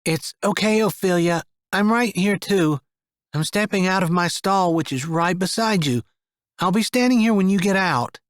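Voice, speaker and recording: A man voicing a gentle young mother who calms frightened children in a soft, sweet voice. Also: high voice, a little slow, dry recording, studio quality, voice pushed up. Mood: soothing. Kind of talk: audiobook character voice.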